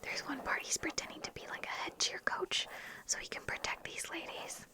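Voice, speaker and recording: A woman speaks entirely in a soft, breathy whisper, as an ASMR host would. She chats to her viewers in an informal, rambling way, with hardly any voiced sound in her words. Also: moderate pace. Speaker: video host talking to viewers. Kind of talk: whispered ASMR movie chat.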